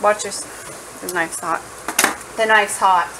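A woman's voice: medium pitch, steady tone, slow pace. Playful woman chatting in a noisy kitchen. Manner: informal, playful, thinking aloud. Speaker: home cooking vlogger. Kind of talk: casual chat while cooking. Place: home kitchen, indoors. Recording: noisy kitchen with food sizzling.

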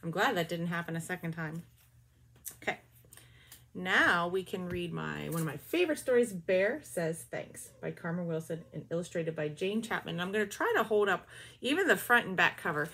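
Teacher, 40s, breathy voice, bouncy storybook reading with quiet asides, home webcam. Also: female voice, on the low side. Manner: lively, drawn-out storybook reading with soft asides. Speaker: teacher reading picture books aloud. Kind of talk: children's read-aloud with comments. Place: small bedroom at home.

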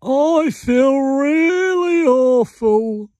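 A woman voicing cartoon children and grown-ups from a picture book with a drawn-out delivery. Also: high-pitched, expressive, warm tone, exaggerated voice. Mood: miserable. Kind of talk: children's storybook character voices.

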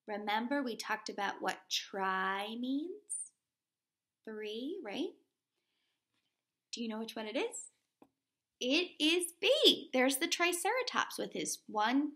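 Bright, high-voiced woman teaching young children. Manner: instructive, addressing young children with simple explanations and questions. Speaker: primary school teacher. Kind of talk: children's read-aloud lesson.

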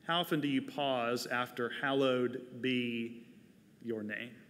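A man's voice: medium pitch, fairly even intonation, takes it slowly, fairly bright tone, a little hiss or hum. An American man in his forties, a pastor delivering a sermon from a church pulpit. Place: large church sanctuary, at a wooden pulpit. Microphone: lapel mic. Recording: reverberant church hall.

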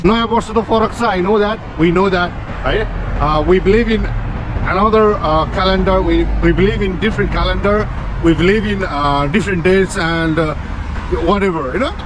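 A man from Nepal with a Nepali accent, vlogging on a city street at night, switching fast between English and Nepali and tagging many questions. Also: high voice, background noise. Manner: rapid mix of English and Nepali, frequent tag questions. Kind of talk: casual vlog talk to camera.

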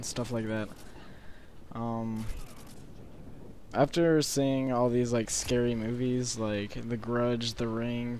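Hoarse eighteen-year-old man rambling. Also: mid-range voice, slight background noise. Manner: rambling, halting with many fillers. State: hoarse, sore throat. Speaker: gaming commentator. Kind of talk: casual gameplay commentary. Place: bedroom at night, alone.

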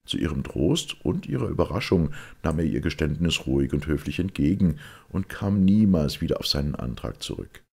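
A man reading a detective story aloud as an audiobook narrator, expressive and measured, with a gravelly, husky voice. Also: a bass voice. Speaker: audiobook reader.

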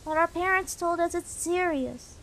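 A woman's voice: very high voice, moderate pace, a bit bright, slight background noise. A woman voicing an earnest, tender young monster boy in a comic dub, with an eager, childlike manner. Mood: worried, pleading.

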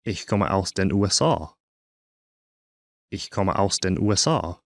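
Low-voiced guy reading phrase drills real slow, each one twice, dry close sound. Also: lively intonation, no room sound. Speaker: language teacher.

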